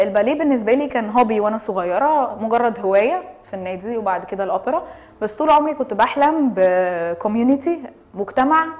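A woman's voice: fairly high pitch, darkish tone. A woman in her thirties with an Egyptian accent, explaining things in an interview with emphatic repetition and English words mixed in. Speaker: dance and fitness studio co-founder, interviewee. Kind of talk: interview talk to camera, mixing in English words. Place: small room indoors.

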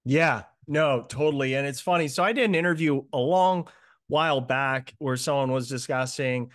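A man's voice: medium pitch, a bit bright. A man in his thirties hosting an interview over a video call, upbeat and encouraging as he sums up his guest's points. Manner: upbeat, encouraging, quick recaps. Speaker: host, limo marketing coach. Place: room at home. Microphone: earbuds on a video call.

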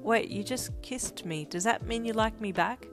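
A woman's voice: on the high side, moderate pace, pitched above the performer's own voice, natural voice. A flustered young lover in anime fan fiction, voiced by a woman reader, stammers and blurts things out. The delivery is teasing and pleading, over music. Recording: music behind the voice.

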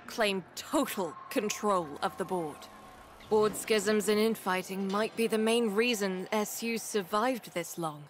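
Game role: weary, slangy rebel chief, urgent but unhurried, medium pitch, some background noise. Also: female voice, a bit bright. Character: weary, blunt rebel woman leader protecting her workers. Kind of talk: video game character dialogue.

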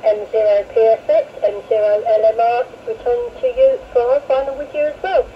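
A woman's voice: fairly high pitch, brisk. Woman over a muffled two-way radio. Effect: heard over two-way radio. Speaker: amateur radio operator. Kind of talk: casual two-way radio exchange. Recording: muffled, noisy FM radio link.

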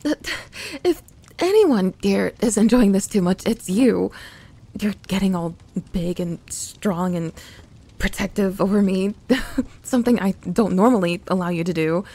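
Breathy, mellow tsundere tomboy played by a woman. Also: mid-range voice, unhurried. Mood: defensive, then shy, soft. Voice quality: soft, breathy. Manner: grumbling, flustered, sighing. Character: young tsundere tomboy best friend, grumpy but secretly affectionate. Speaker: audio roleplay voice performer. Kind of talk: intimate ASMR audio roleplay, often dropping to a whisper.

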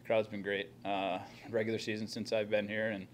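A man's voice: on the low side, little pitch movement, brisk, slight background noise. A young man, a hockey player, answering reporters' questions at a postgame press conference into a table microphone, talking plainly with many fillers and restarts. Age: young adult. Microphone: table microphone in front of him.

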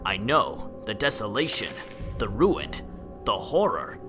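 A man's voice: fairly low pitch, sing-song, measured pace, music in the background. A man voicing an earnest, melodramatic warrior in an anime parody, solemn and protective. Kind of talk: anime parody dub acting.

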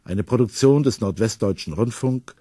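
Male announcer, measured formal delivery, low-ish voice, dry studio sound. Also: expressive, dark tone, husky, no echo. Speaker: radio announcer. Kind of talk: reading broadcast credits.

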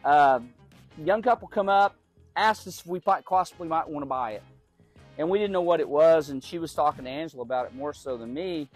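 A middle-aged man from Oklahoma talks through his car collection in a relaxed, folksy way. He often repeats a word while he gathers his thoughts, and his voice sits a bit high for a man. Faint music plays under the recording of his garage tour. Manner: folksy, unhurried, repeats words while thinking. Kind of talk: casual show-and-tell. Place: large garage.

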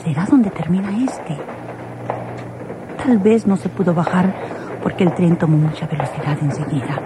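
A woman acts as a young Mexican señorita in an old radio serial. Her voice sits a little low, polite with an anxious edge. The old recording is clearly noisy. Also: normal speed, natural voice. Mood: puzzled, musing. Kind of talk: Mexican radio soap opera acting.

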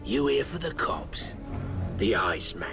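A sneering crime boss from a video game, all mocking menace and showy gloating. His pitch leaps wildly across each taunt, and he drags out every word slowly. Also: sing-song, gravelly, music in the background, exaggerated voice. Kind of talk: video game cutscene acting.

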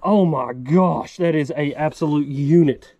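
A young man vlogging from his kayak on a creek, excitable and quick to exclaim. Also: fairly high pitch, dry recording, highs cut off. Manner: excitable, exclaims and laughs often. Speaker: fishing vlogger. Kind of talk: excited outdoor chat.

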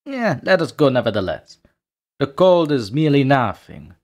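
A man's voice: mid-range voice, very animated, moderate pace. A man playing a drunken, boastful nobleman and wine connoisseur in a dramatic audiobook, with a theatrical, scoffing manner. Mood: scoffing, insistent.

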